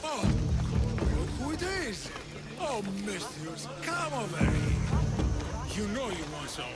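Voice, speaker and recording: Game casting: high-voiced, slow, boozy Greek flirt, boisterous and slurring, music behind. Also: male voice, sing-song, full character voice. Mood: boozy, flirtatious. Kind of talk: video game character acting.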